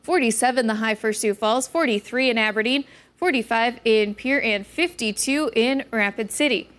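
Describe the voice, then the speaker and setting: Higher-pitched American woman presenting weather. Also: normal speed, fairly bright tone. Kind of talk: broadcast weather forecast.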